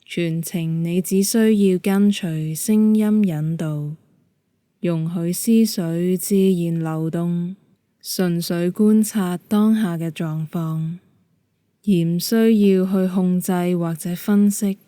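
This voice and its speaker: A woman with a Hong Kong Cantonese accent leading a guided meditation in a calm, gentle, measured manner. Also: fairly low pitch, dry recording, clean studio sound. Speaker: yoga nidra meditation guide.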